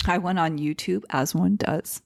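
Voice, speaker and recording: A woman in her thirties who grew up in Seattle after a childhood move from Iran talks freely as a podcast guest. Her voice sits a little low. She rambles through stories with plenty of fillers, "like"s and restarts. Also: moderate pace, gravelly, a little mellow. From Iran, raised in Seattle. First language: Persian. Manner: chatty storyteller with many fillers and restarts. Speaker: stand-up comedian, podcast guest. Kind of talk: casual interview chat.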